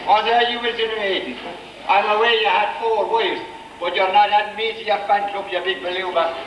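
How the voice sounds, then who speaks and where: A man's voice: sits high, fairly even intonation, normal speed, a bit bright. A man with an Irish accent playing a brash, bawdy widowed governess, scolding and wisecracking in a stage pantomime. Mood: indignant. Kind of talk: broad amateur stage pantomime acting. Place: village hall stage, live performance. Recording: echoey hall stage, distant mic, noisy.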